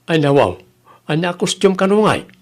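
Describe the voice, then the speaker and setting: A man's voice: high voice, very animated, normal speed. A man playing a grumbling but obliging village husband with an Ilocano accent in a comedy drama. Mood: puzzled, resigned.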